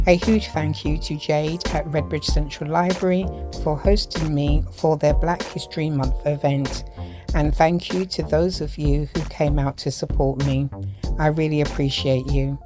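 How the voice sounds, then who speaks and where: A woman with a very low voice hosts a podcast, speaking in a polished, measured way. Music plays clearly underneath her. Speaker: podcast host. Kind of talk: podcast intro and interviewing. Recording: music playing behind the voice.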